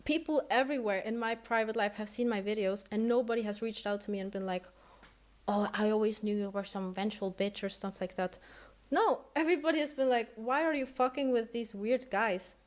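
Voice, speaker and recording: A woman's voice: a little high, fairly quick. Blunt, indignant woman with roots. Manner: blunt, indignant, swearing often. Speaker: guest speaking on stream. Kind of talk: heated monologue addressed to someone.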